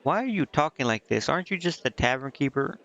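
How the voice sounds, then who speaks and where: Muffled, gravelly man rambling. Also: mid-range voice, animated, quick pace. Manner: rambling, thinking out loud. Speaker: video game streamer. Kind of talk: casual gameplay commentary, reading game dialogue aloud. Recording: muffled mic with clear background noise.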